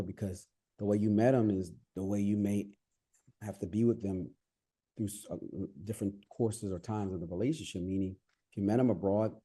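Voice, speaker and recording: A man's voice: on the low side, dark tone, husky. A man from the United States with no accent, answering interview questions in a reflective, advice-giving way with frequent restarts. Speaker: podcast guest.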